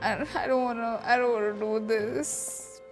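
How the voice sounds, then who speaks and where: High-voiced young woman, unhurried, reacting emotionally. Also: steady tone. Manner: emotional, halting, informal. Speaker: game streamer. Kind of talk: live reaction commentary on a game. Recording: game music behind.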